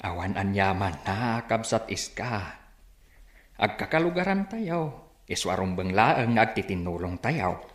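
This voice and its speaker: A man acting a middle-aged, cautious village father in a radio drama, speaking in a calm, reassuring manner. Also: on the low side, a little slow. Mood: consoling. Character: cautious, accepting village father comforting his family and neighbours. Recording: echoey radio studio with music.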